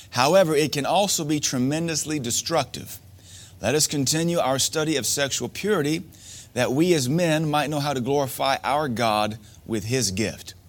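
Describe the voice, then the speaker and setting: A man preaching a sermon to men, emphatic and repetitive, asking rhetorical questions. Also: medium pitch. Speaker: pastor.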